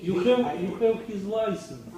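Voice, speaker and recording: A man from the former Soviet Union, talking in a pleading, halting way. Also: high voice, animated, heavy reverb, recorded from a distance, over a noisy crowd.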